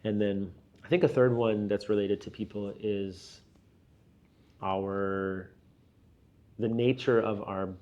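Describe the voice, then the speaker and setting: A middle-aged man talking casually and reflectively in an interview as a leader, explaining things step by step in a halting, thoughtful way. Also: lowish voice, steady tone, darkish tone, lo-fi sound. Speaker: business and church leader being interviewed.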